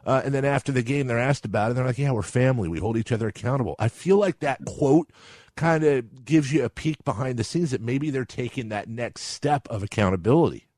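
A man with a bassy voice hosting a casual sports talk interview, chatty, informal and digressive. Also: a little fast, a little mellow, no echo. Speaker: radio show host, interviewer.

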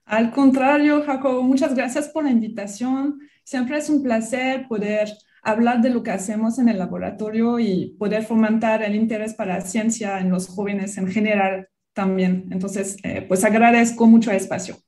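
A woman from France with a French accent, a research biologist being interviewed on the radio, friendly and quick to laugh. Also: fairly high pitch, steady tone, a little mellow. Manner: friendly, laughs easily, some restarts.

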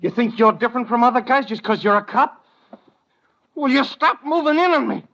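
An actor playing a hot-headed hired thug who talks in agitated gangster slang, in a 1950s radio crime drama on a muffled old recording. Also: male voice, extremely high pitch, animated, fairly quick, pushed and bright, heavily acted. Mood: panicked, frantic. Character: jittery, snarling male gunman, hot-headed hired thug.

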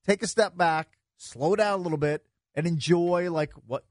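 A man's voice: high-pitched, moderate pace, dry recording. A man hosting a sports talk show, speaking casually as if among friends in the studio. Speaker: sports radio host. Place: radio studio. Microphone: close studio microphone.

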